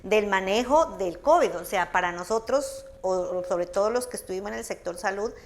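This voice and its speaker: Woman explaining calmly. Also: medium pitch, a little hiss or hum. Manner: measured, explanatory, frequent "¿no?" tags. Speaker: book author in a public talk. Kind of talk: explanatory talk answering audience.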